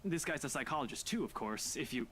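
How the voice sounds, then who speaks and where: A man voicing a skeptical, rational young man in a visual novel game, speaking in a calm, matter-of-fact and dismissive way. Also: mid-range voice, fast, a little hiss or hum, natural voice.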